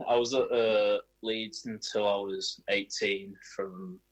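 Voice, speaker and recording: Young male, twenties, very low voice, footballer, casual interview answers. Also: steady tone, slow pace, poor audio. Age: 20s.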